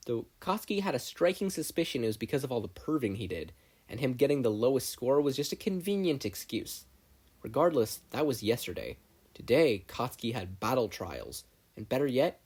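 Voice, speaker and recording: A podfic narrator reading scripted fiction aloud in an expressive storytelling style. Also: mid-range voice, husky.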